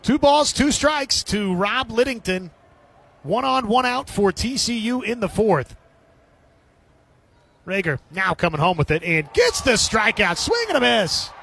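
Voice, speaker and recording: A man's voice: high voice, very animated, measured pace, gravelly, a bit bright, slightly rough. A man calling a baseball game as a radio play-by-play announcer, describing the action in clipped phrases. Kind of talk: live radio sports commentary.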